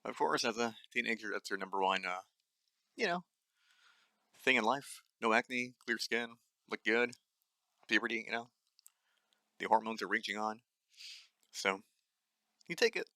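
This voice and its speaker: A man's voice: lowish voice, unhurried, vocal fry, long pauses, bright, forceful tone, no room sound. A young adult man hosting his own nutrition podcast, speaking blunt and angry with a dry voice, swearing often and stumbling with fillers. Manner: many fillers and restarts. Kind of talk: solo ranting monologue.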